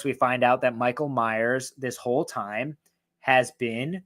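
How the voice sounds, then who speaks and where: A man with a voice going hoarse, excitedly and profanely ranking horror films in a rambling, casual way. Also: medium pitch. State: losing his voice, hoarse. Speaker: horror film reviewer. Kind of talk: casual film ranking talk.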